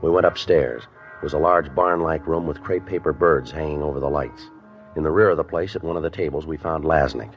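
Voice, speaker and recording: A man acting a terse, businesslike police sergeant in a clipped, deadpan radio drama style. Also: rumbling low, fairly quick. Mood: matter-of-fact. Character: terse, businesslike male police sergeant narrating his case. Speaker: police detective and narrator. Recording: dull old radio recording with music.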